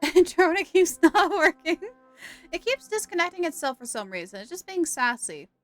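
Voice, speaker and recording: A woman's voice: very high voice, very animated, normal speed, faint music behind. A young woman streaming a game live, chatting casually and giggling with her viewers.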